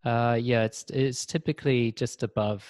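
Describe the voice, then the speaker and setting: A man in his thirties from Switzerland, hosting a podcast in casual conversation over a video call, halting and repeating words as he searches for them. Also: medium pitch, steady tone, a little mellow, no room sound. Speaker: podcast host. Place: indoor room. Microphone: video call, desk mic.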